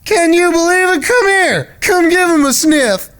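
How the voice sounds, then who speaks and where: A man's voice: very high voice, animated, unhurried, fairly bright tone, exaggerated voice. A man playing a talkative, simple-hearted American cowboy who needles in folksy rustic speech, for a Western audio drama. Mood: gleeful teasing.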